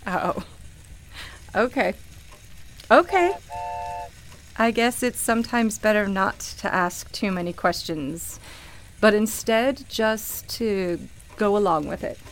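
A woman playing a nostalgic, wonderstruck shop customer in a radio play, with a hesitant, halting delivery. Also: mid-range voice, expressive, slight background noise, low-quality recording. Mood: accepting.

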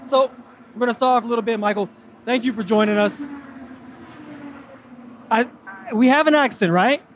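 A man hosting an interview in a lively, upbeat way, speaking outdoors at a loud racetrack. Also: very high-pitched, moderate pace, slight background noise. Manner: upbeat, hype-driven. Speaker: host.

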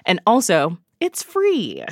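Woman, low voice, chatty and enthusiastic, radio guest, dry studio mic. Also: huge pitch swings, slow, dry recording. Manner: enthusiastic, chatty, uses "like" a lot. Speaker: events guest contributor. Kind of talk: casual radio chat.

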